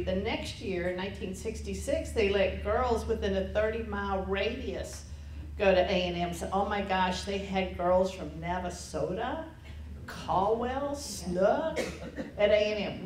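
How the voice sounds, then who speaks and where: A woman in her sixties from Texas, telling anecdotal stories at a lunch lecture and laughing at her own tales. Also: mid-range voice. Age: 60s. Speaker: lecture panelist. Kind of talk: storytelling talk at a lunch lecture. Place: lecture room. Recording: echoey room, distant mic, faint noise.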